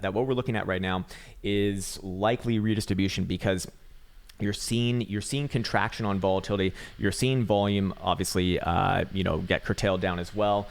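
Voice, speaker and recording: A raspy-voiced man giving casual, rambling chart analysis, swearing now and then and full of fillers and restarts. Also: sits low. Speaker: market analyst.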